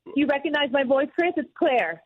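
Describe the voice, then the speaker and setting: A woman's voice: high voice, expressive, brisk. A woman in a confrontational phone call, insistent and repeating herself. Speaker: caller confronting her lover.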